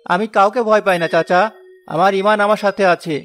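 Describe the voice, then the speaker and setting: A high-pitched male porter, played by a man, honest and steadfast. He speaks with firm principle in an animated folk tale, with music underneath. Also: brisk. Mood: resolute.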